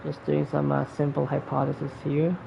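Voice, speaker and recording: A woman's voice: low voice, completely flat delivery, moderate pace, creaky, background noise. A woman giving casual gameplay commentary, thinking aloud and often repeating words and phrases. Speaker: gaming streamer. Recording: dull lo-fi mic over game music. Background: faint game music.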